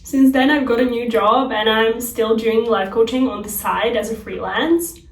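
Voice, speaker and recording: A woman's voice: on the high side, fairly even intonation, normal speed. A young adult woman from Slovakia with a Slovak accent, a native Slovak speaker, chatting casually to viewers as a lifestyle vlogger in an open, earnest way. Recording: roomy, distant microphone.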